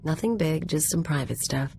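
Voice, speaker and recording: Low-voiced streetwise female mercenary. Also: animated, moderate pace, vocal fry, a little mellow, slight background noise. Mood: evasive. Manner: casual, tough, offhand. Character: streetwise, guarded female ex-anarchist mercenary, cynical and tough. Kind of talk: video game dialogue acting.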